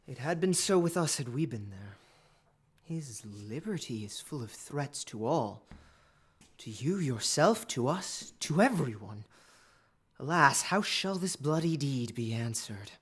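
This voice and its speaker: A man's voice: medium pitch, very animated, unhurried, slightly rough. A man playing a troubled, commanding king in a Shakespearean audio drama, declaiming formally. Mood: dismayed.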